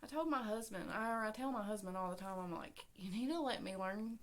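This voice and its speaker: A woman's voice: mid-range voice, a little fast. A woman, hosting a casual crochet vlog from her home craft room. She chats to viewers in a scattered, friendly way with many fillers. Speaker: crochet podcast host. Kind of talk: casual chat to camera. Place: small home craft room with yarn shelves.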